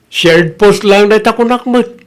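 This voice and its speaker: A man's voice: high voice, a little slow. A man playing a defensive, dismissive young husband in a family radio drama. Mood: dismissive, put-upon. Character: defensive young husband caught between wife and mother. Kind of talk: Ilocano radio drama acting.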